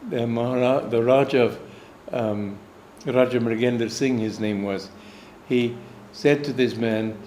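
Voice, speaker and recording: A man's voice: medium pitch, fairly even intonation, measured pace, few pauses, a little mellow, slight background noise. An American man, a swami and spiritual teacher, recounting a personal anecdote in a meandering storytelling way. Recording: echoey room, faint ambience.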